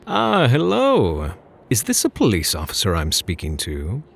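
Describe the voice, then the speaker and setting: A man playing a smug, flirtatious phantom thief in an ASMR roleplay, teasing and mock-polite, with a husky, gravelly voice. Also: lowish voice, huge pitch swings, a little slow, full character voice. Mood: taunting, mock-polite.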